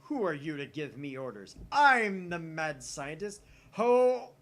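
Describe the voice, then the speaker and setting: A man's voice: on the high side, big pitch swings, takes it slowly, fairly bright tone, voice pushed up, heavily acted. A man voicing a grandiose, boastful young self-proclaimed mad scientist with theatrical, bombastic declarations for a visual novel. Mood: haughty. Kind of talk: visual novel voice-over read aloud.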